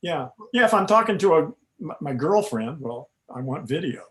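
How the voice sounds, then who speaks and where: A man who hosts a podcast, chatting casually on a video call, laughing often and filling in with quick yeahs. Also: fairly high pitch, big pitch swings, a bit bright. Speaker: podcast host.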